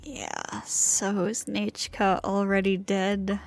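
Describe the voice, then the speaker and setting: An actress playing a serious young heroine in a video game, speaking bluntly and firmly. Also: female voice, mid-range voice, level tone, slow pace, gravelly, faint music behind. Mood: wary, probing. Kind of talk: dramatic video game voice acting.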